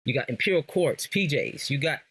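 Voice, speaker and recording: Man, American accent, slightly high pitch, casual sports talk, storytelling, slangy, emphatic repetition. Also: animated, brisk, fairly bright tone, breathy edge. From Los Angeles, Southern California. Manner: repeats phrases for emphasis, storytelling in street slang. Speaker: guest, lifelong Lakers fan. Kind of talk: casual sports podcast conversation.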